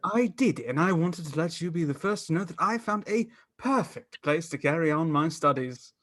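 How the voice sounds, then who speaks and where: A man playing an earnest, studious young gentleman in a radio play, formal and courteous in speech. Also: a little high, lively intonation, moderate pace. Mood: polite, earnest. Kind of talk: stage radio play acting.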